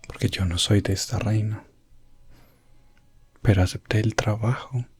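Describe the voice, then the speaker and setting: A man playing a calm, courteous elf prince adventurer in a soft, gentle ASMR fantasy roleplay, slipping in and out of whispers. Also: fairly low pitch, slightly rough, no room sound, exaggerated voice. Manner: hushed, gentle, drifting in and out of whisper.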